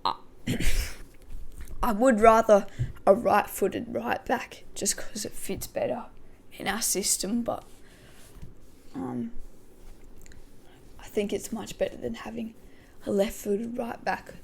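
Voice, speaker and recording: A woman hosting a casual football podcast, her voice croaky, thinking aloud with many hesitations. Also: a little high, expressive, takes it slowly, pauses often, a little hiss or hum. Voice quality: gravelly. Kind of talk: casual pre-match football talk.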